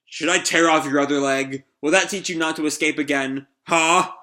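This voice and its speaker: A man's voice: on the high side, steady tone, normal speed, bright, forceful tone. A man voicing a cruel, short-tempered gang boss from a comic, threatening and bullying. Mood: menacing.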